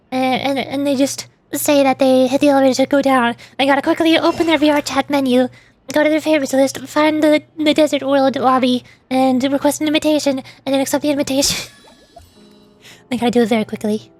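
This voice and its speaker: A woman's voice: high-pitched, steady tone, fast, darkish tone. A woman streamer rambling casually, explaining things in a chatty way with playful asides.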